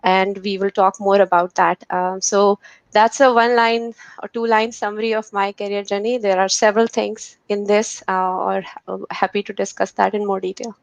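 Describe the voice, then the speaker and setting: A woman's voice: medium pitch, flat delivery, gravelly, dry recording. A woman, introducing herself on a virtual panel and mixing Urdu and English. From Pakistan. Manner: fast, mixes Urdu and English. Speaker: panelist, tech professional.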